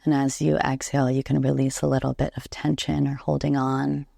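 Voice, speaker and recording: Low, creaky-voiced woman guiding meditation. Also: level tone, dry recording, studio quality. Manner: soothing, unhurried, gentle instruction with long pauses. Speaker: yoga nidra instructor. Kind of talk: guided relaxation meditation.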